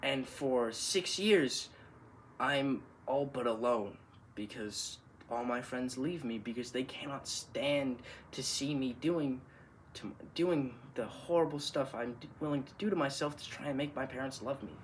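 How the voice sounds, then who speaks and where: A man's voice: medium pitch, natural voice. Man acting a subdued, wounded son, faintly noisy room. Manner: subdued, earnest, deliberate phrasing. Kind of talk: dramatic monologue performance.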